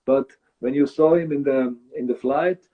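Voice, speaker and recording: Swiss man talking in a halting way. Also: medium pitch, phone-quality sound. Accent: Swiss German. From Switzerland. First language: Swiss German. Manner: thoughtful, halting, many fillers. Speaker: guest breeder. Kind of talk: casual interview.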